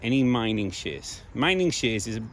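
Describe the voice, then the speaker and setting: Male vlogger, slightly hoarse voice, emphatic and informal, mid-pitched, recorded outdoors in town. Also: sing-song, moderate pace, a little hiss or hum. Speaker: video blogger. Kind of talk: casual monologue to viewers.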